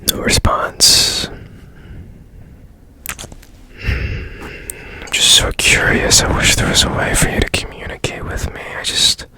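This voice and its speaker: A man playing a strange, curious repairman who tends a broken robot in a gentle, breathy whispered ASMR roleplay, murmuring soothing phrases. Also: measured pace, lightly acted. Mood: curious, wistful.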